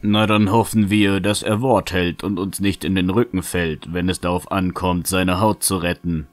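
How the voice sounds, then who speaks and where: A man playing a calm, shrewd adventurer in a fantasy audio drama, reasoning in a measured, eloquent way. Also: sits low, natural voice. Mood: cautious planning. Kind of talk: fan-made fantasy audio drama acting.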